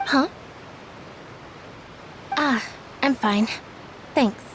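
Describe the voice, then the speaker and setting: A woman's voice: high-pitched, drawn-out words, background noise. A woman voicing a reserved, wistful young woman in a video game, subdued and reflective.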